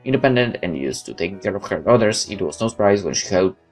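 A man's voice: sits low, animated, quick pace. A man hosting a video game playthrough, reading on-screen text aloud and adding his own asides. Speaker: gaming video host. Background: game music.

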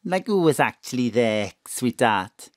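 A doting middle-aged Welsh mother in an audio drama. Her low voice swings up and down at an unhurried pace as she fusses and chats with daffy affection. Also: sing-song, no echo, clean studio sound, full character voice. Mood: coaxing. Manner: fussing endearments and tag questions.

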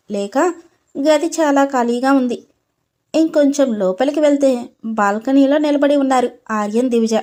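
A woman's voice: sits high, warm tone. A woman storyteller reading a scripted story narration.

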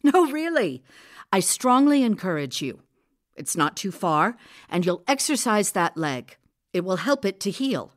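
A woman playing a composed, caring village healer in an audio drama, steady, practical and coaxing. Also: mid-range voice, unhurried, no echo, clean studio sound.

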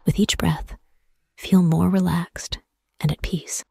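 A woman softly guiding a meditation in a whispery, husky voice, soothing and measured, with pauses between phrases. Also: on the low side, fairly even intonation, no room sound. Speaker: meditation guide. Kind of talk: guided meditation, soft scripted narration.

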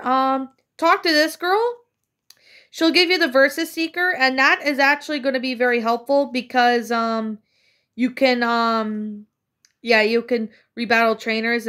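A woman's voice: fairly high pitch, fairly bright tone. A woman casually commenting on her own gameplay, chatty and rambling with many fillers, slightly congested from a cold. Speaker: let's play gamer.

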